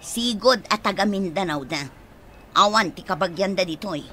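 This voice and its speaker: An actress playing a harsh, greedy aunt taking in orphaned children, shrill and scolding. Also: female voice, normal speed, sharp tone, a little hiss or hum, natural voice. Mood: scheming. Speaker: radio drama actor. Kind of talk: radio drama acting.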